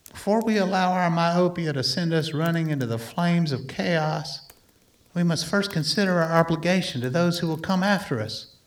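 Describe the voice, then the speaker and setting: A man playing an earnest doctor-scientist who gives a solemn, deliberate, oratorical speech in a radio play. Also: a little high, natural voice. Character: earnest male doctor-scientist delivering a measured political plea.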